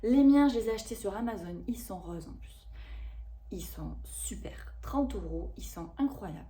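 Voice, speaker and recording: A woman in her thirties chatting casually to camera about a shopping haul, rambling and expressive with lots of fillers. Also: medium pitch, normal speed. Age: 30s. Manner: chatty and rambling, with frequent fillers and self-corrections. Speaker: vlogger reviewing a shopping haul.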